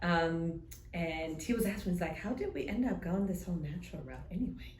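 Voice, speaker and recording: A woman's voice: lowish voice, lively intonation, fairly quick, darkish tone, echoey room. A woman in her thirties from Germany, with a German accent tinged with a New Zealand accent, telling her story in casual chat with many ums. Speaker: guest.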